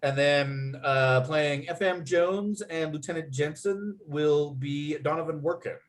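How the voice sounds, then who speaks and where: A man's voice: fairly high pitch, measured pace. A man acting as narrator at a table read, reading out script and stage directions.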